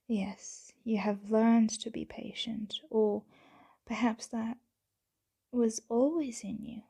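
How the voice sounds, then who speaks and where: Woman acting a slow, dark-voiced female vampire. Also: a little high, full character voice. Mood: reserved, gentle. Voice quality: soft, breathy, half-whispered with creaky edges. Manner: measured, deliberate, formal phrasing with pauses. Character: composed, patient female vampire owner, commanding yet gentle. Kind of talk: ASMR roleplay, scripted monologue to the listener.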